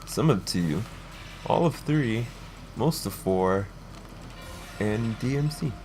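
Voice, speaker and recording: A man's voice: fairly low pitch, expressive, slow pace, a little mellow. A man casually commentating while he plays a game, in short, crudely joking remarks. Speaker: let's play player and commentator. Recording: noticeable background noise.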